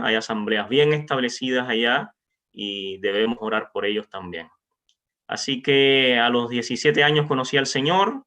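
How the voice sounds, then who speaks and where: A man in his forties speaking informally to a congregation as a visiting preacher, halting with frequent pauses. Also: mid-range voice, pushed and bright. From Cuba. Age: 40s. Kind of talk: informal testimony and greeting to a congregation. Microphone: remote online meeting microphone. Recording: dull, lo-fi online meeting audio.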